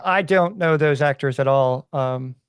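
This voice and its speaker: Slightly higher-voiced man, dry close sound. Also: unhurried, clear, resonant tone, no echo. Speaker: podcast guest. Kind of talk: casual conversational discussion.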